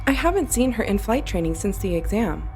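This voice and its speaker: A woman's voice: medium pitch, big pitch swings, a little fast, a little mellow, faint music behind. A woman playing a perceptive, insistent flight instructor in an audio drama, with a firm, probing manner.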